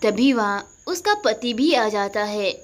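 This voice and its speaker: Casting: woman narrator for cartoon tales, high-pitched voice, scripted storytelling delivery. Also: lively intonation, slow pace.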